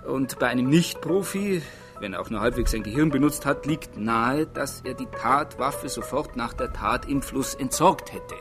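An actor playing a brusque, dismissive chief investigator in a radio drama, speaking formally and in a clipped way. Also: male voice, medium pitch, lively intonation, normal speed, music in the background, lightly acted.

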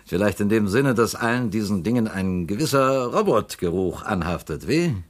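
A sly, insinuating male politician in a radio play. His low voice rises and falls in wide, lively swings as he needles and probes. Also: moderate pace. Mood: probing. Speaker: politician Quinn. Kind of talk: radio drama acting.

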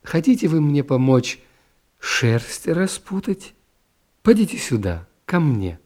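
Young princess acted by a man, storybook drama: playful, candid, self-assured, with a soft, lightly raised voice. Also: fairly high pitch, very animated, slow, full character voice. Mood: teasing.

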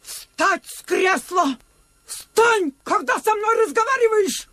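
An actress playing a brisk, indomitable middle-aged politician's wife in a Soviet radio drama, with a commanding, motherly manner. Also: extremely high pitch, animated, a bit bright, no room sound, full character voice. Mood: stern, commanding. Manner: commanding, halting phrases in scolding, motherly fussing.

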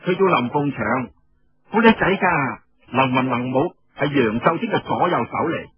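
A man's voice: medium pitch, animated, normal speed, husky, dry recording. A man with a Cantonese accent voicing a spirited, coy teenage girl who talks in an eager, gushing way, in a storybook telling. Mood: proud, lively. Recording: dull lo-fi radio recording.

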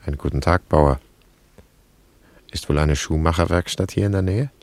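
Very deep male voice, gravelly, solemn haunted wanderer, halting, poetic radio drama. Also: a little mellow, no room sound. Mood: guarded, grave.

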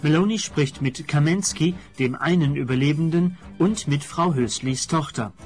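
A man's voice: on the high side, moderate pace, a little mellow, faint music behind. A man announcing a radio play and recapping the story in a scripted narration.

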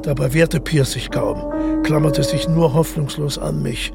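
Calm, detached killer narrator for literary radio drama. Very creaky, gravelly delivery, reflective and measured, music underneath. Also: male voice, a little high. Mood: intense, detached. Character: detached male killer calmly recounting his deed.

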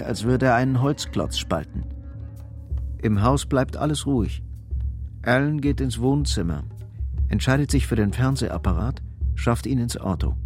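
A man narrating a scripted crime drama in a measured, sober manner. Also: medium pitch. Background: music.

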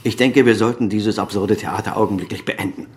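A man's voice: lowish voice, steady tone, fairly quick, a little hiss or hum, close to an everyday voice. An actor playing a proud, evasive surgeon and father of a grown son, speaking in an authoritative, articulate way in a German radio crime drama. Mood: cold, dismissive.

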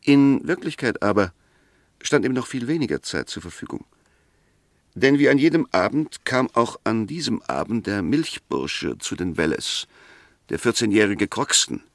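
An actor playing a methodical, argumentative defence advocate in a 1960s radio drama, deliberate and emphatic. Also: male voice, lowish voice, very animated, no room sound, studio quality. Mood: insistent, reasoning. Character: argumentative, methodical male defence advocate dissecting a murder case.

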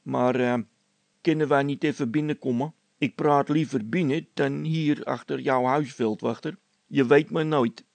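A man's voice: mid-range voice, fairly even intonation, unhurried, vocal fry, a little mellow, no room sound, pitched above the performer's own voice. A man voicing a sheepish, anxious workman with a timid, plaintive way of talking in a read-aloud comic story. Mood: worried.

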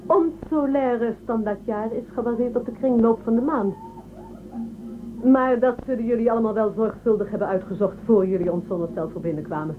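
An actress playing a haughty, probing woman official of a space empire in a radio drama, condescending and measured. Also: on the high side, narrow, tinny audio, natural voice. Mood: cool, superior. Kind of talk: Dutch radio drama acting. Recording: thin band-limited, noisy old radio recording.

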